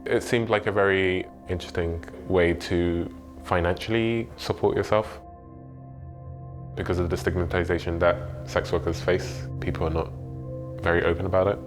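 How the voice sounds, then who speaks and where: A man with a very low, flat, level voice speaking in a measured documentary interview. Soft music plays faintly behind him.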